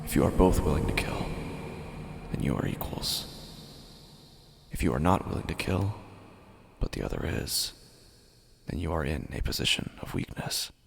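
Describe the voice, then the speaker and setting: A man playing a dazed, fearful narrator of a horror audio drama, speaking in halting phrases with a low, gravelly, breathy voice that often nears a whisper. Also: heavily acted. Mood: cold, measured. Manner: slow, halting, broken phrases with heavy pauses. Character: dazed, fearful man narrating his own waking nightmare. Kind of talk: first-person horror audio fiction narration.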